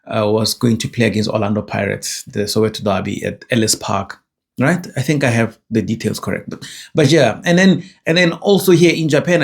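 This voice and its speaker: A young man with a South African accent, talking casually to camera in a rambling, self-correcting way. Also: medium pitch. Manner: digressive, self-correcting, chatty. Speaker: vlogger.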